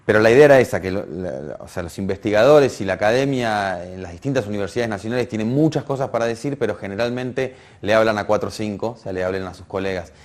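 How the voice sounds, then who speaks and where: A man with an Argentine accent speaks in a fairly low voice. He answers as in an informal interview, feeling for his words and rephrasing as he goes. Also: fast talker, hardly any pauses.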